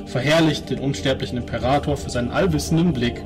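A man's voice: medium pitch, fast talker, lightly acted. A man playing a devout preacher in a tabletop game, reciting a solemn, repetitive litany. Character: devout preacher chanting prayers to a god-emperor. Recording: music playing behind the voice.

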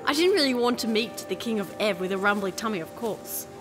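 A woman's voice: medium pitch, animated, brisk, natural voice. A young woman playing a plucky, flippant princess in an audio drama, speaking in a chatty, wry, informal way. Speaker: lead actor and narrator. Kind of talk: audio drama, first-person narration and dialogue. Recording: music under the voice.

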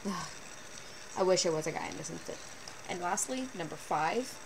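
Teen girl vlogger, lowish voice, animated and sarcastic, bedroom webcam with audible hiss. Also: moderate pace, gravelly. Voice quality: fairly low voice for a woman. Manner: chatty, animated, sarcastic asides. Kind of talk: casual webcam vlog. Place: small bedroom, indoors. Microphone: built-in webcam mic. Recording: noisy low-quality webcam recording.

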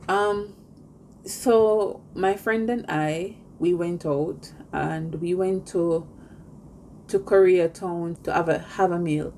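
A woman's voice: on the low side, a little mellow, slight background noise. Jamaican woman vlogging earnestly to camera. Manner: earnest, measured, speaks directly to viewers. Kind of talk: casual talk to camera. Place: indoors in front of a pink backdrop.

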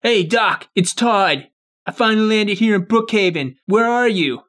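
A man's voice: sits high, lively intonation, unhurried, a bit bright. A man plays a scheming twin in a video game roleplay. He poses as an out-of-town cousin, with informal, hesitant, wheedling talk. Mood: casual.

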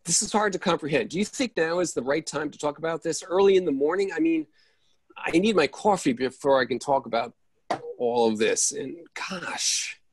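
A male actor performs an exasperated, fussy man in an absurdist stage play. His husky voice swings up and down as he repeats himself, halting and flustered. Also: a little high, expressive. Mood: bewildered. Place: indoors on a video call.

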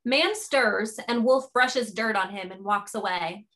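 A woman's voice: mid-range voice, unhurried, fairly bright tone. A woman reading stage directions as the narrator of a play, in scripted narration.

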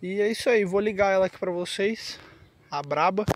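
High-voiced Brazilian man chatting casually. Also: a little fast. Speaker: motorcycle vlogger. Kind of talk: casual vlog talk to camera, slangy. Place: outdoors on a quiet roadside by trees.

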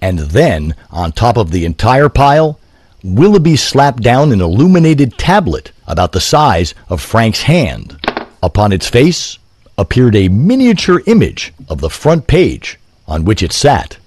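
A man reading a scripted story in a literary, deliberate storytelling manner. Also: mid-range voice, very animated, darkish tone, husky, dry recording.

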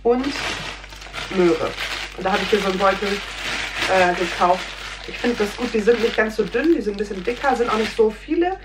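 A woman's voice: medium pitch, a little fast. A woman in her thirties chatting casually in her home kitchen, rambling with many fillers and sounding a little under the weather. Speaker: food vlogger. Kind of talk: casual chat. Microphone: fixed camera at desk distance. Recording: roomy kitchen with faint hum.

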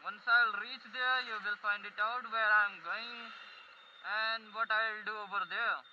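High-voiced Indian man over loud vehicle noise. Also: steady tone, unhurried. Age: young adult. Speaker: motovlogger. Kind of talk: casual riding commentary. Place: outdoors, riding a motorbike on roads. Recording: muffled helmet recording with loud traffic noise.

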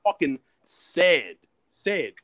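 A man's voice: a little high, sing-song, very slow, a bit bright. A man with a New York accent, phoning in to a live stream and ranting insults at the hosts. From Brooklyn, New York. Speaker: caller.

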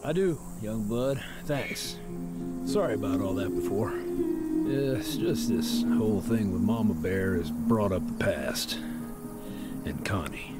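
A wistful bearded drifter. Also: male voice, on the low side, animated, loud music behind the voice. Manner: slow, wistful, fatherly. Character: gruff bearded middle-aged male drifter, wistful. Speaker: game character. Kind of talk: game voice acting.

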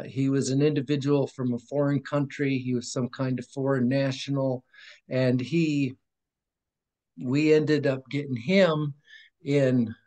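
A man's voice: mid-range voice, darkish tone. Earnest rambling man on a video call. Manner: earnest, rambling, with restarts and sudden drops in volume. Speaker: podcast guest. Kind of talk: emotional personal testimony. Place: room at home with framed picture on wall. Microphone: video call webcam.

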